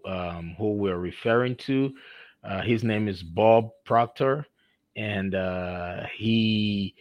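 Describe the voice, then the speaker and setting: A man's voice: fairly low pitch, dry recording. A man from Nigeria with a Nigerian accent, hosting an interview in an effusive manner with many fillers. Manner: effusive, halting with frequent fillers. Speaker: podcast host. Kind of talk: conversational interview.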